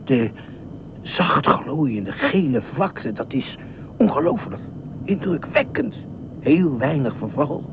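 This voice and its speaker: A man's voice: medium pitch, big pitch swings, slow pace. A man acting in a radio play as an eccentric, middle-aged self-appointed beach watchman, officious, rambling and easily indignant. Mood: musing, earnest. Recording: noisy, tinny phone-like radio drama recording.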